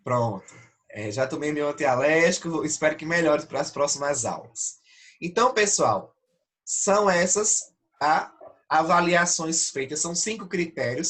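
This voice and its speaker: A man's voice: a little high, a bit bright. Brazilian guy teaching online, stuffy nasal voice from allergies, keeps asking "tá?". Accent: Brazilian Portuguese. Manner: explains step by step, often checks with "tá?". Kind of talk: online class lecture.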